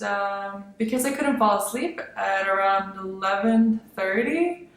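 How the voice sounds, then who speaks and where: A young woman from Lithuania chatting casually as a vlogger, rambling with many fillers and soft laughs. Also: medium pitch. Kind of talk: casual chat. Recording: very echoey room.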